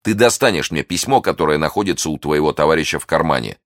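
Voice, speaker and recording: A man's voice: extremely low pitch, lively intonation, fast talker, no room sound, clean studio sound. A man voicing a stern young guardsman in his twenties who speaks in clipped, commanding lines. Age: 20s. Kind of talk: audiobook character voice.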